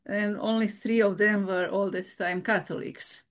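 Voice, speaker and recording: Woman, older, nun, halting, many restarts, frequent laughs. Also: medium pitch, fairly even intonation. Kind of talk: casual interview.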